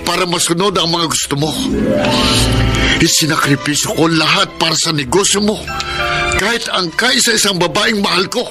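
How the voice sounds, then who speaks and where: Man acting a resentful, higher-voiced Filipino son. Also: moderate pace, loud music behind the voice, close to an everyday voice. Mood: bitter. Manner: earnest, emotional, aggrieved. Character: resentful, overburdened grown son pleading for fairness. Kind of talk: Filipino radio drama acting.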